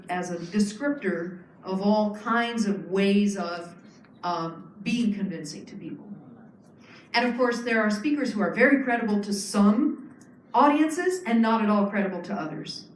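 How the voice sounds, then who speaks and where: A woman's voice: medium pitch, expressive, a little slow, recorded from a distance, a little hiss or hum. A woman giving a public lecture, explaining earnestly with frequent fillers and restarts. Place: lecture hall. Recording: echoey hall, speaker off-mic.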